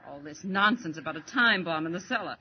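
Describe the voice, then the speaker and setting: An actress playing a brisk, mocking young woman reporter in a 1940s radio serial. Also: sits low, lively intonation. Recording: dull lo-fi old radio broadcast.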